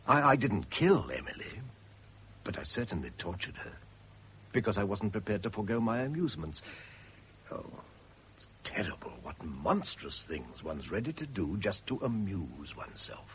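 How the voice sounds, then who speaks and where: An actor playing a condemned, remorseful philanderer in a radio drama, halting and impassioned as he confesses. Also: on the low side, sing-song, a bit bright. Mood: bitter remorse. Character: anguished condemned man, remorseful philanderer awaiting his end. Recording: muffled old radio drama recording, faint hiss.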